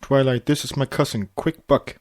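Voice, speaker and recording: A man's voice: mid-range voice, steady tone, normal speed, close to an everyday voice. A man voicing a mild, awkward young male pegasus in a read-aloud story, earnest in manner.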